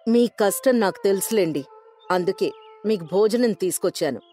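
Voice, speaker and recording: A devoted village wife from a moral tale, voiced by a woman, speaks at a middling pitch. She is gentle, respectful and concerned. Music plays behind her. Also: moderate pace, close to an everyday voice. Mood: caring.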